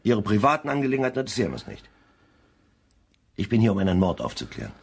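An actor playing a stern, commanding police chief with a husky voice in a German crime radio play. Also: male voice, fairly low pitch, huge pitch swings, fast, heavily acted. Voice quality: husky, raspy.

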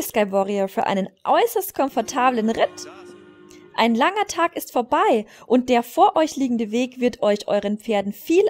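Fantasy game mentor, read by a woman: courteous, grandiose wizard, high for the role, measured, expressive. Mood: solemn.

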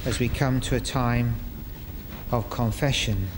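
An older man leading a church service, reading liturgy and prayers to a congregation in a measured, formal way, phrase by phrase. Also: mid-range voice, steady tone. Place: inside a parish church, congregation present. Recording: echoey church hall with noisy ambience.

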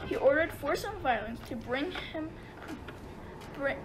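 High-pitched woman narrator, noisy recording. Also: animated, unhurried. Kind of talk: scripted storytelling narration.

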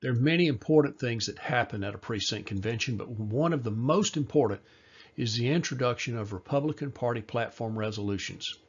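Husky-voiced man explaining procedures. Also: medium pitch, muffled recording. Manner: measured, explanatory, slows for key details. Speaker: party presenter. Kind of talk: scripted informational voice-over.

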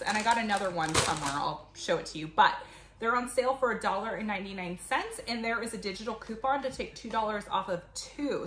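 Woman chatting in an echoey, noisy kitchen. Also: medium pitch. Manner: chatty, explanatory. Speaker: vlogger, mother showing a grocery haul. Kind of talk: casual chat to camera. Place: home kitchen, indoors. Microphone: camera a few feet away.

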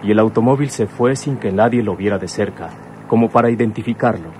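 A man acting a courteous, serious son in a radio drama. His voice is low and measured in tone, with faint traffic-like noise behind it. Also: darkish tone, natural voice. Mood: explaining, defensive. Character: sober, courteous adult son of a police commissioner.

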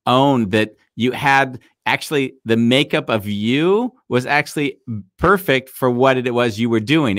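A man hosting a podcast talks at a middle pitch into a close mic with a dry sound. He asks probing questions and teases his guest. The chat is casual. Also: fairly bright tone, no room sound. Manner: probing, joking. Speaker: podcast host. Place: small room indoors. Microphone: headset with desk mic.

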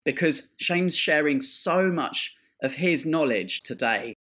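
A man's voice: on the high side, lively intonation, slow pace, a bit bright. A man hosting a business podcast, reading an enthusiastic introduction and asking interview questions. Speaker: podcast host.

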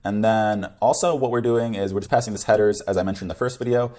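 A man's voice: lowish voice, fast, a little mellow. A young man presenting a coding tutorial in a casual screencast style. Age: young adult.

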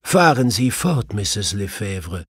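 A man voicing an assured, courteous gentleman detective in an audiobook, speaking decisively. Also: fairly low pitch, very animated, slow pace, breathy edge, no room sound, clean studio sound, exaggerated voice.

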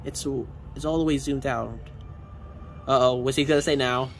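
A teenage boy casually commenting on short videos outdoors, excitable and exclaiming, with stumbling restarts. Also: medium pitch, moderate pace, slight background noise. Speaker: reaction video host. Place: outdoors on a patio by a pool. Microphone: phone camera pointed at a laptop.

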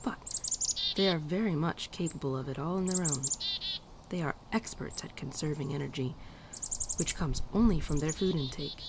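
A woman playing a gentle, teasing winter spirit in a girlfriend ASMR roleplay, speaking softly and tenderly, often dropping to a near-whisper. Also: low voice, moderate pace, noisy background. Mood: tender, fond. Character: gentle, teasing female winter spirit, affectionate old friend. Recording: birdsong and outdoor ambience behind.

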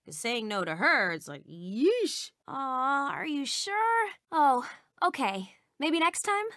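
An actress voicing an eager, awkward, bubbly young princess in a video game, with a perky, rambling, self-conscious manner. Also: female voice, sits high, very animated, slow pace, fairly bright tone, no echo, studio quality, full character voice. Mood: awkward, let down. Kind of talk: animated video game character voice clips.

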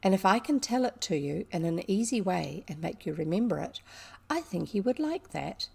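Woman as an earnest, bright schoolgirl, lightly gravelly. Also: medium pitch, sing-song, exaggerated voice. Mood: kindly reasoning. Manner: earnest, deliberate. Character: bright, earnest, imaginative schoolgirl, old-fashioned and kind.

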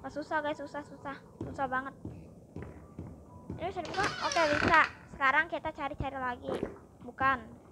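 A woman's voice: high voice, a little fast, pauses often, over music. A young woman with an Indonesian accent giving casual let's play commentary as a gaming streamer.

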